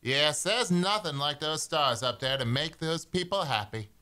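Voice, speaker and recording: A man's voice: a little high, lively intonation, a little slow. A man playing a blustery but starry-eyed policeman in an old-time radio comedy. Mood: genial.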